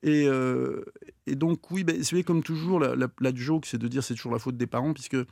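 Guy with a gravelly voice explaining stuff on radio, lots of euh, dry studio sound. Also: mid-range voice, steady tone, moderate pace, a little mellow, no echo. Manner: hesitant, many fillers and restarts. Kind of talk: radio talk show discussion.

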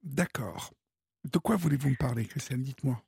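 A man hosting a late-night radio call-in show, gently prompting his caller with questions. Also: medium pitch, lively intonation, brisk, vocal fry, breathy edge, no room sound. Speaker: radio host. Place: radio studio.

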